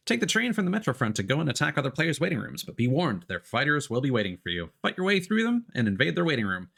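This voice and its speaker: Male game streamer, very animated intonation, explaining mechanics in hesitant asides while playing live. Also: mid-range voice, creaky. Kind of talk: live let's play commentary, part reading game text aloud.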